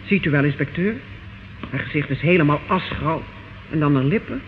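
A man's voice: a little high, normal speed, close to an everyday voice. A male actor playing an anxious, dutiful prison warder in an old radio drama, respectful and alarmed. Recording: tinny, hissy old radio recording.

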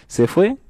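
A man's voice: mellow, no room sound, clean studio sound. A man with a Chilean accent co-hosting a podcast, chatting casually and opinionated about music, joking and laughing often. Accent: Chilean Spanish. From San Antonio, Chile. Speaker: podcast co-host.

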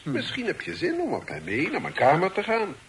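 An actor playing an authoritative older father and magistrate in a radio play, speaking in a formal, measured, commanding way. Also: high voice, wildly swooping pitch, vocal fry, exaggerated voice. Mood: calm, fatherly. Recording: faintly noisy old radio recording.

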